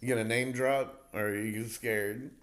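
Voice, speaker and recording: A man's voice: medium pitch, fairly even intonation, measured pace, fairly bright tone. A man in his forties hosting a casual podcast chat, talkative and slangy, laughing easily. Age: 40s. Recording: roomy echo.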